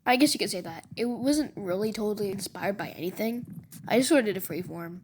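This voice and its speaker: A woman's voice: mid-range voice, expressive, a little fast, a little mellow, slight background noise. A woman giving casual gameplay commentary and walkthroughs as a video creator, using informal gamer slang. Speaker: video creator and game level maker. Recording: music under the voice. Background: music.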